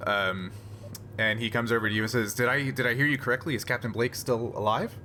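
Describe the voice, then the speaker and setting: A man's voice: medium pitch, fairly even intonation, a little fast, bright, forceful tone. Man game master, faintly noisy recording. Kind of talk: tabletop actual play narration and casual chat.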